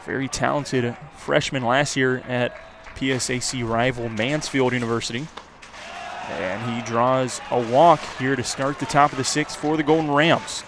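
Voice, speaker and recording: A male baseball announcer delivers live play-by-play for a radio broadcast. His pitch is mid-range. Faint outdoor ambient noise sits behind his voice. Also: steady tone, normal speed.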